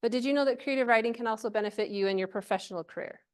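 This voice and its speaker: Female workshop presenter, higher-pitched voice, chatty and encouraging, informal delivery to a live group. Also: rapid-fire, clear, resonant tone. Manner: chatty, encouraging, checks in with questions and "right?".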